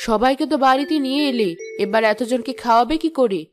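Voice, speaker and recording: Cartoon role: a stern mother-in-law, scornful and scolding, voiced by a woman, with a high voice. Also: fast, soft background music, close to an everyday voice. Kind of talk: Bengali cartoon voice acting.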